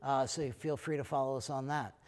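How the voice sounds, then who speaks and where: A middle-aged American man, head of an economics school, talking casually to prospective students in a relaxed way, with many fillers. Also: mid-range voice, fairly quick. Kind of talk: recorded talk to prospective students. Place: lecture room, then plain backdrop indoors.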